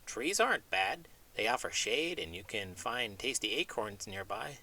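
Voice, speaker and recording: Guy doing a cocky breezy pig for a kids show, pitch bouncing all over. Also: very animated, unhurried, bright, forceful tone, heavily acted. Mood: cheerful, breezy. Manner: boastful, breezy, teasing. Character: cocky, carefree talking pig, proud parent.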